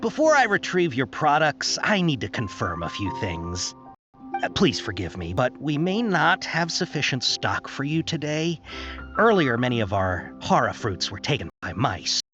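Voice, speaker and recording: A man's voice: medium pitch, sing-song, moderate pace, lightly acted. A man voicing a polite but cagey bazaar warehouse worker in an anime-style video game, with a courteous, apologetic manner. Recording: muffled game capture with music behind.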